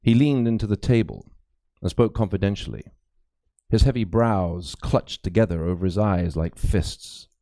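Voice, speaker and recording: A man's voice: sits low, expressive, moderate pace, warm tone, no room sound, clean studio sound. A man reading a mystery novel aloud as a dramatic, scripted narration. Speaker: audiobook narrator, actor.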